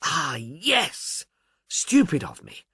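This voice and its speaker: Man performing sceptical police officer, grudging, precise, exasperated, very varied pitch, dry recording. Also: wildly swooping pitch, extremely slow, sharp tone, husky, dry recording, heavily acted. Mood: conceding.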